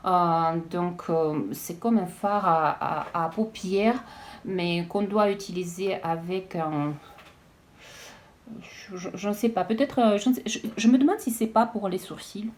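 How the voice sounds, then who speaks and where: A woman chatting casually as she unboxes beauty products, hesitant and halting with frequent restarts. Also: lowish voice, a little mellow. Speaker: beauty vlogger.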